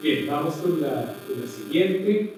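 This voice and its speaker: A man's voice: fairly high pitch, very animated, slow pace, noisy background. A man giving a public lecture, thoughtful and full of fillers and restarts. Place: hall with strong echo. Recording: echoey hall from far off.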